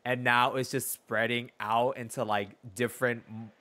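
A man's voice: mid-range voice, flat delivery, moderate pace, bright, forceful tone. A man in his twenties giving casual, sassy, slangy reaction commentary as a game streamer. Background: game music.

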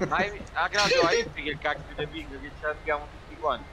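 A man's voice: fairly high pitch, quick pace, noisy background. A man in casual gaming chat, directing others and repeating his instructions. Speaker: online truck-convoy gamer organizing the group.

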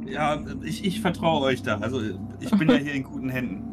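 A man's voice: medium pitch, lively intonation, a little fast, heavy background noise. A man playing a tabletop role-playing game, chatting in character in a playful, dry joking way. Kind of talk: casual in-character game chat. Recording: loud music under voice.

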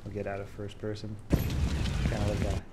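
Low-voiced man, casual demo, noisy recording. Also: monotone. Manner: halting, thinking aloud. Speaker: game developer showing a project.

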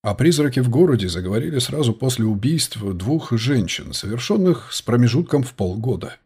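Man, husky voice, medium pitch, scripted detective audiobook narration. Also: very animated, brisk, darkish tone.

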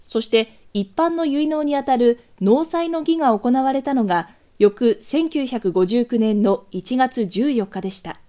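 A woman narrates a scripted news documentary. Her voice sits fairly high yet has a very mellow, dark timbre. The delivery is formal and measured, as a prepared read.

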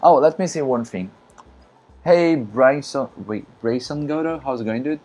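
A man from Brazil whose first language is Portuguese, chatting casually in halting English with many fillers and frequent laughs while streaming a game. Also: mid-range voice, a little mellow, faint music behind. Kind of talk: casual live-stream commentary.

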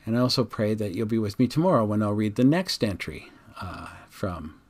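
A man speaking as a pastor, reading a devotional in a measured, earnest, formal way. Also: fairly low pitch, expressive, a little mellow. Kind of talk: devotional reading with reflective address to listeners. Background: background music.

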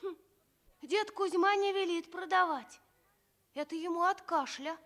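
High-pitched earnest little village girl. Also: a little slow, a bit bright, full character voice. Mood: serious, guarded. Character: small earnest village girl, shy and kind-hearted. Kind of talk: radio fairy-tale acting.

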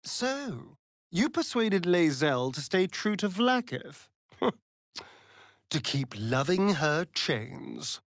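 A man with an English accent playing a sardonic, vain elven vampire rogue in a video game, arch, mocking and theatrical, with a breathy voice. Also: a little high, big pitch swings, slow, dry recording, studio quality, exaggerated voice. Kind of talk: video game character voice lines, theatrical delivery.

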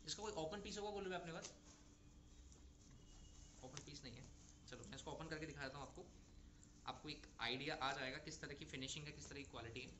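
A man's voice: on the high side, quick pace, long pauses, noisy background. A young man presenting garment stock to retail buyers in a persuasive, reassuring sales patter, recorded in a clothing warehouse. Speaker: wholesale seller presenting stock. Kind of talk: product showcase pitch to retailers.

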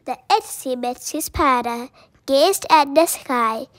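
A child reading a picture book aloud in a careful, deliberate way. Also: high-pitched, dry recording, studio quality. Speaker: story reader.

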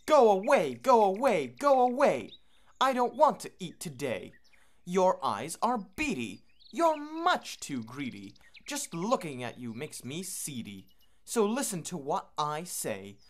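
A woman voicing a grumpy, scolding female storybook bird in shrill, emphatic singsong rhyme. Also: wildly swooping pitch, slow, full character voice. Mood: irritated, scolding.

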